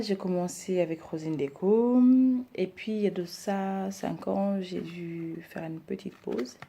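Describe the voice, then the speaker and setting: Woman, 30s, from Rwanda, hesitant personal intro, mellow dark tone, low pitch. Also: vocal fry. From Rwanda, raised in Belgium. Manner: hesitant, many pauses and fillers. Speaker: decor business owner introducing herself.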